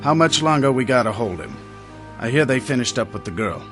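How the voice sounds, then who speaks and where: An actor playing a gruff henchman who guards a captive, speaking in a blunt, working-class way. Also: mid-range voice, animated, brisk, fairly bright tone, soft background music, lightly acted.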